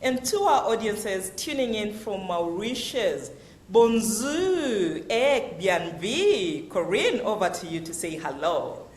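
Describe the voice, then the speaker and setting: A woman hosting a webinar, introducing each speaker in a formal, measured way. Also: medium pitch, very animated. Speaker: webinar host. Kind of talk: moderating a webinar. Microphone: video call. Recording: roomy echo.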